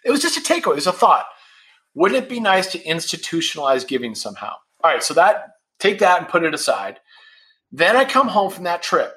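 A blunt, candid man in his fifties chats on a podcast. His voice is bright and forward, and it sits a little high for a man. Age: 50s. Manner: candid and blunt, swears freely. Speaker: podcast guest, founder of a supplement brand. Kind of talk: casual podcast conversation.

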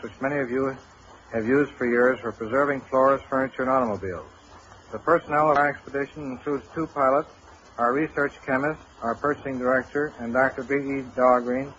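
A man's voice: medium pitch, a bit bright. A businesslike man from the United States reading a formal scripted speech as a guest on 1930s radio. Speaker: company president, guest speaker. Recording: dull 1930s radio recording, faint noise.

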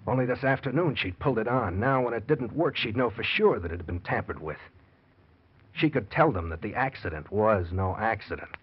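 Guilty scheming husband, fairly low and husky, secretly nervous, falsely tender, vintage radio drama, muffled recording. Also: male voice, lively intonation, a little fast. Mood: tense confession. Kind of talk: old-time radio drama acting and confession narration.

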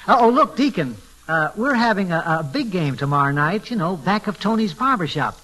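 A man's voice: high-pitched, animated, fairly quick, pushed and bright, exaggerated voice, poor audio. An actor playing a streetwise wrestling promoter and card hustler, talking in slangy wise-guy style in a 1940s radio comedy. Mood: affable, wary.

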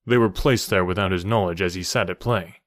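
A man voicing a calm, commanding young gentleman stranger in an audiobook, measured and formal. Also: low-pitched, no echo, clean studio sound, lightly acted. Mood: calm, explanatory. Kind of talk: audiobook character voice.

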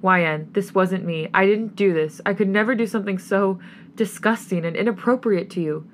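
A woman's voice: mid-range voice, normal speed, slight background noise, close to an everyday voice. A woman voicing a wounded young man in an audio story, an indignant boyfriend pleading and swearing in exasperation. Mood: earnest, pleading.

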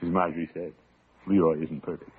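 An actor playing a pompous, doting middle-aged uncle in an old radio sitcom, chuckling and blustering along in a self-satisfied way. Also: male voice, low voice, little pitch movement, fairly quick, close to an everyday voice. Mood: flustered, dismissive. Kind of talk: 1940s-50s radio sitcom acting. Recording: tinny, hissy old radio recording.

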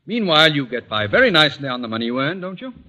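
An actor playing a pompous middle-aged school principal and former major, formal and wheedling, in a 1940s radio sitcom. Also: male voice, fairly high pitch, big pitch swings, racing, sharp tone. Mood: evasive, wheedling. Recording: old radio broadcast, dull, noisy.

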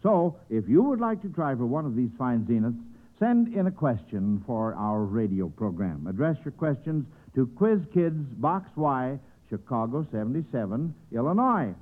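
A man's voice: medium pitch, sing-song, moderate pace. Tinny old-time radio quiz host, American man. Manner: folksy, hesitant, encouraging to children. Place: radio studio. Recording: tinny band-limited vintage radio broadcast.

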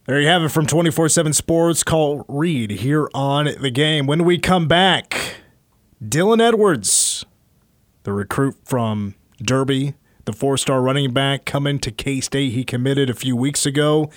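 A man hosting a sports talk radio show, chatty and rambling, his voice getting a little hoarse. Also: mid-range voice, a little slow, dry recording. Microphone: studio microphone.

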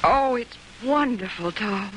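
An actress playing a gentle, devoted sea captain's wife in a mid-century radio drama, speaking tenderly and earnestly. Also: female voice, mid-range voice, lively intonation, a little slow. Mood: joyful surprise. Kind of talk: 1950s radio drama acting. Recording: noisy old radio broadcast recording.

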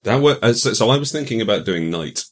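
A man's voice: on the low side, expressive, fast. A man explaining things in casual conversation as a game designer, often thinking aloud as he goes.

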